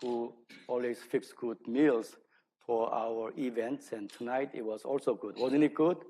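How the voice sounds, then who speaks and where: Male lecturer, native Korean speaker with Korean accent. Medium pitch, halting delivery, self-deprecating academic talk. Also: steady tone, dark tone. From South Korea. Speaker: lecturer, archaeology scholar. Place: speaking live to an audience at an event.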